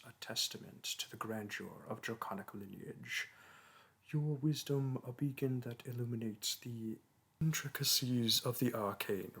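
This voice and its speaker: A man's voice: medium pitch, fairly bright tone, slightly rough. A man voicing a proud, ancient male dragon teacher who speaks in grand, archaic, formal phrases in a romantic audio roleplay. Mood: reverent, solemn.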